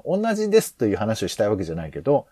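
A man's voice: on the low side, wildly swooping pitch, racing, dark tone, no room sound. A man co-hosting a podcast in casual discussion, speaking tentatively and circling around his points. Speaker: podcast co-host.

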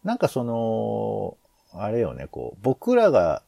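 A man's voice: fairly low pitch, huge pitch swings, takes it slowly, creaky, warm tone, no room sound. A man co-hosting a podcast in casual discussion, speaking tentatively and circling around his points. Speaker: podcast co-host.

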